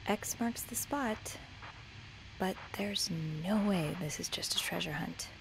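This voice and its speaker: Nosy, earnest young hotel maid. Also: female voice, mid-range voice, takes it slowly, creaky, background noise. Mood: musing. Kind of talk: narrative mystery game acting, natural dialogue.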